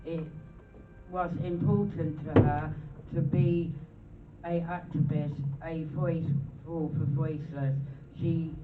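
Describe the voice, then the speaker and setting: A man reading a tribute aloud at a charity event, halting and pausing in the middle of phrases. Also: on the high side, little pitch movement. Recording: echoey, distant, phone-like, music behind.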